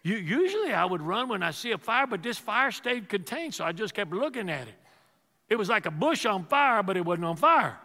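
A man's voice: sits high, very animated, brisk, sharp tone, in a large hall, exaggerated voice. A man playing a bewildered young husband who hesitantly explains something strange. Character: bewildered young husband telling his wife a strange story.

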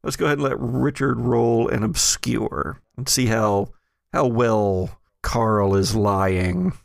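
Male game master, unhurried and creaky, halting improvised narration, close dry recording. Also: medium pitch, no echo.

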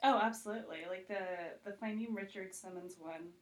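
A woman's voice: medium pitch, a little fast. A woman guest on a podcast, talking casually and emphatically, with lots of "like" in her speech. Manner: informal, emphatic, frequent "like". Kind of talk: casual chat.

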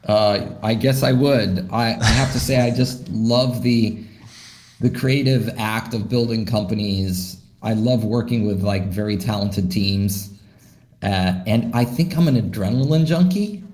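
A man answers interview questions in a slightly low voice, thoughtful and loose, full of "you know" and restarts. His voice carries a roomy echo, as if recorded in an open room. He sounds like a founder talking through his ideas. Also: measured pace, darkish tone. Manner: thoughtful, with many fillers and restarts. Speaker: startup founder, interview guest.

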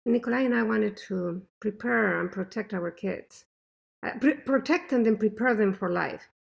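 A woman with a thick non-native accent, a mother telling animated stories as an interview guest. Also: medium pitch, moderate pace, gravelly. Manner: animated, emphatic storytelling. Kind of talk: conversational interview.

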